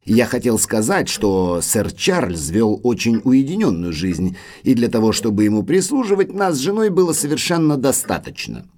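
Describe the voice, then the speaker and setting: An actor playing a formal, polite manservant of an old manor in an audio drama. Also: male voice, medium pitch, very animated, normal speed, few pauses, a little mellow. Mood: deferential, formal. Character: deferential, sorrowful manservant butler of an old manor.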